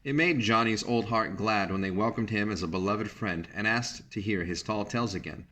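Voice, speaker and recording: Low-voiced man reading a storybook. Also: normal speed, fairly bright tone. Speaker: teacher reading a picture book aloud. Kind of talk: storybook read-aloud with brief asides.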